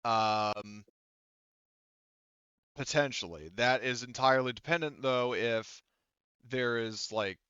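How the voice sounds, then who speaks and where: A man running a tabletop game as game master, narrating casually in a halting, rambling way full of filler phrases. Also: medium pitch, sharp tone, smooth, no room sound, dull audio. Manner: halting, rambling, frequent "like" and "you know"; casual swearing.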